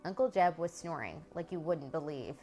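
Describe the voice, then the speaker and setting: A stubborn, sleepy young teenage boy voiced by a woman who talks in a quiet, hushed way. He sounds proud and wants to be taken seriously. Faint music plays underneath. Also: lively intonation, moderate pace. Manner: soft, hushed and low-key. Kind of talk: reading a novel aloud.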